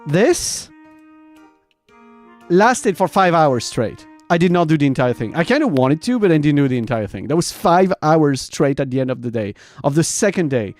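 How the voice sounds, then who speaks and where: A man rambling casually and informally to his livestream viewers, animated and loose in his wording. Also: a little high, normal speed, soft background music. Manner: animated, laughs often. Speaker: variety streamer and host.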